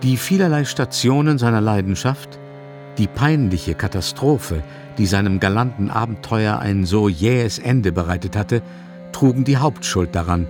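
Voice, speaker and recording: A man's voice: lowish voice, lightly acted. A man reading scripted literary narration in a radio drama. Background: instrumental music.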